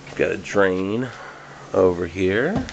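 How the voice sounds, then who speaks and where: A man's voice: deep voice, slow pace, muffled recording. A man giving a casual, rambling walkthrough of his home basement winemaking setup, filmed on a handheld camera. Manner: relaxed, rambling, hesitant with fillers. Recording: noisy camcorder audio with fan hum. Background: fan and air conditioning hum.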